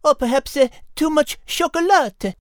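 Woman playing a high-voiced French cat. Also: moderate pace, no room sound, studio quality, close to an everyday voice. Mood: measured reasoning. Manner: precise, archly teasing. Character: clever, wry female French cat, podcast host.

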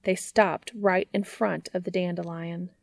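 A woman's voice: fairly low pitch, fairly even intonation, a little slow, gravelly, no echo, studio quality. A woman reading a scripted story aloud as a storybook narrator. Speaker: fan fiction reader and narrator.

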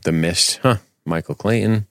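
A man's voice: lowish voice, normal speed, fairly bright tone, no echo, clean studio sound. A man in his forties co-hosting a movie podcast, joking sarcastically and swearing freely in casual banter. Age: 40s. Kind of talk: casual profane banter about a film.

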